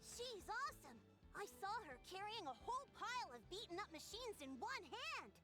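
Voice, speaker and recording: A woman's voice: extremely high pitch, sing-song, moderate pace, over music. A woman voicing an earnest, eager and admiring young child villager in a video game. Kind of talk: video game character acting.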